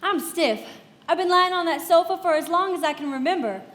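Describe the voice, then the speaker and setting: Casting: bored, blunt, gossipy young society sportswoman, radio play, high voice in a reverberant hall. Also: a little fast, fairly bright tone. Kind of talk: live radio play acting. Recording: echoey hall.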